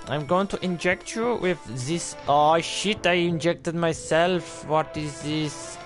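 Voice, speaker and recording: Flustered young man in mock German accent. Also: fairly high pitch. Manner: mock German-style accent drifting into a made-up one; swearing, flustered, bursts of excited shouting. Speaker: game streamer. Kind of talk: live let's-play commentary.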